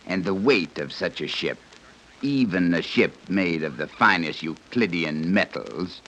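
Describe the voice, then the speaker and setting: A deep-voiced, stern guide from a secret advanced society speaks in formal, exact phrases. The tone is bright and forceful, in 1930s radio-drama style, over a faintly noisy old recording. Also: male voice, exaggerated voice. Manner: precise, formal, measured explaining. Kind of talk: 1930s radio serial acting.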